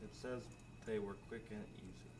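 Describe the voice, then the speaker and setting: A young man playing an enthusiastic student who gives quiet, brief answers. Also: fairly low pitch, steady tone, normal speed, lightly acted. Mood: eager. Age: young adult. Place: small classroom, indoors. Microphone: far camera. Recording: echoey room, far mic, loud hiss.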